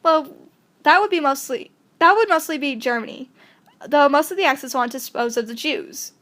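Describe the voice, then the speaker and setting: A woman's voice: high-pitched, normal speed, a bit bright. A woman reading the part of an earnest student explaining things in a hesitant way. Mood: explanatory. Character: student giving an earnest history explanation in class.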